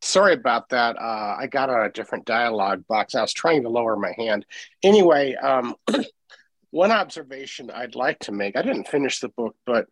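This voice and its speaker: Halting, thoughtful man in casual discussion. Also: medium pitch, lively intonation, no room sound, poor audio. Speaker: book group participant. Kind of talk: casual group discussion, giving opinions.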